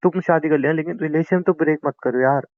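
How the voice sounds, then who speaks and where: A man's voice: a little high, fast talker, no room sound, phone-quality sound, natural voice. A young man playing a hurt boyfriend who pleads and argues bitterly in a naturalistic audio drama of a couple's call. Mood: pleading, insistent. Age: young adult. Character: wounded, pleading young boyfriend with a failed business.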